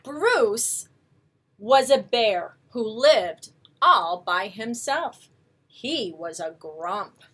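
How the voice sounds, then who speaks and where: Female children's storyteller, highish voice, very lively intonation, picture-book read-aloud, coaxing asides. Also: extremely slow, husky. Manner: expressive, coaxing asides to young listeners.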